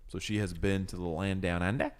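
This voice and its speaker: A man's voice: low-pitched, steady tone, fairly quick. A man co-hosting a podcast in casual, rambling, jokey chat, with fillers and playful bits. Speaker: podcast co-host.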